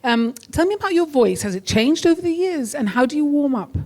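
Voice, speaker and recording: Higher-voiced woman interviewing casually. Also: lively intonation, brisk, warm tone. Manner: inquisitive. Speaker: host, interviewer.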